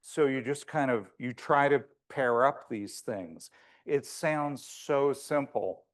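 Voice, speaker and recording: A man's voice: mid-range voice, takes it slowly. Big-voiced man giving a conversational lecture. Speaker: landscape architect giving a presentation.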